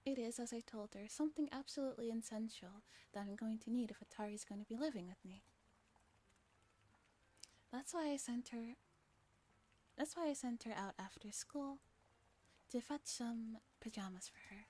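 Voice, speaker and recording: A woman with a fairly high voice reads a story aloud in a soft ASMR streaming style. She is giggly and now and then trips over a line and starts it again. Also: normal speed, pauses often. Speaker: streamer reading a visual novel aloud.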